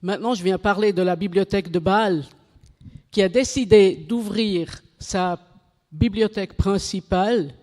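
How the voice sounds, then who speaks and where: A woman giving a conference talk in French, which is not her first language, halting and correcting herself as she goes. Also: mid-range voice, dark tone. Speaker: conference speaker. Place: conference hall. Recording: reverberant hall.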